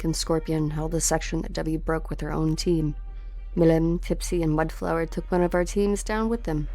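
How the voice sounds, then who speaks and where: A woman's voice: fairly low pitch, mellow, close to an everyday voice. A woman voicing a cold, taunting assassin in a dramatic game dub, with a cool, menacing delivery. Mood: cold, matter-of-fact. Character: cold, taunting female enemy assassin hunting her prey.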